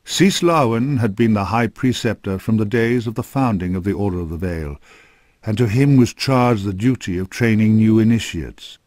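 A man narrating a scripted dark fantasy game story in a grave, measured storytelling manner. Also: on the low side, dry recording, studio quality.

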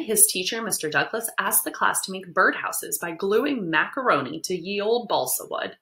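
American woman, thirties, bright voice, very lively pitch, teacher-style children's read-aloud. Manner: animated, explanatory. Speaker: teacher reading a picture book aloud. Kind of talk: expressive children's read-aloud with simple asides. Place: small book-lined room at home.

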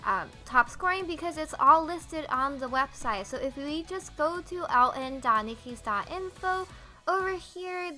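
Female game guide host: high, bright voice, casual explainer delivery.

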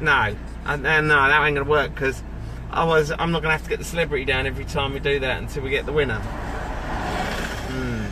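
A man's voice: medium pitch, fairly even intonation, fast. A man chats casually and jokingly to his followers, often mock-serious, while driving a van. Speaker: vlogger livestreaming to followers. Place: inside a moving van. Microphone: phone mounted on dashboard. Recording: loud road noise inside moving vehicle.

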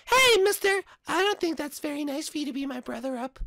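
A woman's voice: sits high, expressive, a little fast, creaky, fairly bright tone, no room sound, studio quality, heavily acted. A woman voicing a bossy, confident young girl who keeps encouraging her brother, in a homemade cartoon play.

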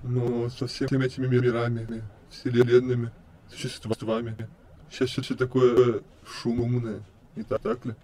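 A man's voice: mid-range voice, level tone, unhurried, dark tone, natural voice. A man voicing an insane, menacing skeleton in an amateur comic dub, with glitchy stutters and repeated words. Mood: casual, unhinged.

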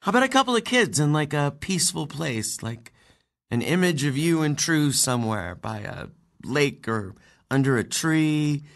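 An actor playing an earnest, anxious transgender teenage girl in an audio play, speaking in halting, youthful phrases. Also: mid-range voice, sing-song. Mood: earnest, hesitant. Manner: hesitant, halting, youthful phrasing with likes and ums. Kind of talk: naturalistic audio play acting.

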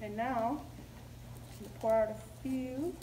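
High-voiced young woman teaching crafts. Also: slow, noisy background. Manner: chatty step-by-step explaining. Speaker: craft video host. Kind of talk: casual craft tutorial. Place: indoor room with decorated backdrop.

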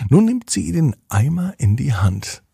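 A man's voice: fairly high pitch, big pitch swings, a little slow, vocal fry, mellow, husky, dry recording, studio quality. A man hosting a children's podcast, narrating a bedtime story expressively and speaking directly to children.

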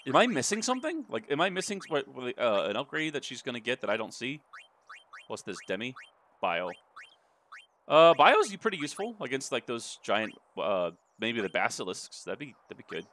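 Male let's player, crude and excitable, bright animated delivery, faint game sound behind. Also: mid-range voice, normal speed, a little hiss or hum. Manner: crude, sweary, excitable reactions. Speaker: let's play host. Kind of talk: casual gameplay commentary. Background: video game audio.

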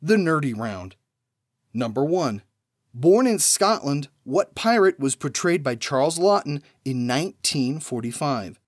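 A man's voice: a little high, expressive, no room sound. Man reading trivia questions, dry close sound. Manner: measured, reads each question then pauses before the answer. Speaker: trivia podcast host.

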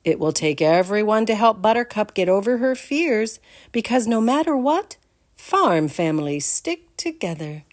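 An older woman giving an expressive read-aloud storytime for young children, in a deliberate, animated manner. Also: mid-range voice. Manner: deliberate, animated, addresses the listeners with questions.

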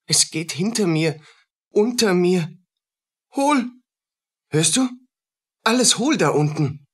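An actor playing a haunted, humble poor soldier in a radio play, speaking in halting fragments with a husky voice. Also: male voice, sits high, lively intonation, heavily acted. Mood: haunted, fearful.

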